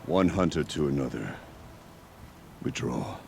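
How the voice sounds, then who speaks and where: Proud male hunter chief, gravelly, wide swings of pitch, formal, haughty, video game drama. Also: expressive, a little slow, slight background noise, exaggerated voice. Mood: stern, disdainful.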